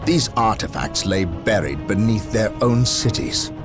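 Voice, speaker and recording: An actor playing a proud, battle-hardened space marine captain in a video game, suspicious and solemn, with a forceful, formal delivery. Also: male voice, lowish voice, lively intonation, measured pace, music in the background. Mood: probing. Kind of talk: dramatic video game cutscene acting.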